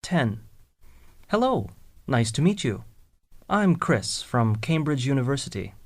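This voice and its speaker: A speaker reading scripted English listening exercises in a slow, deliberate way, spelling out words letter by letter. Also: mid-range voice, sing-song. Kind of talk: scripted slow textbook dialogue.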